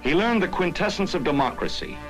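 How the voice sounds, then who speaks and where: 1940s American newsreel narrator over music. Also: male voice, high-pitched, expressive, a little fast, rough recording. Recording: 1940s film soundtrack with prominent music.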